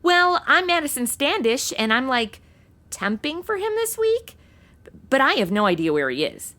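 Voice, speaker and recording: A woman's voice: high voice, big pitch swings, moderate pace, sharp tone, exaggerated voice. Actress doing a ditzy modern girl sleuth, slangy n flippant, voice swings up and down, comedy audio drama. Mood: chatty, breezy. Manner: flippant, slangy Valley-girl phrasing with "like" and OMG. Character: breezy, scatterbrained modern young woman as temp sleuth. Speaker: lead character and narrator.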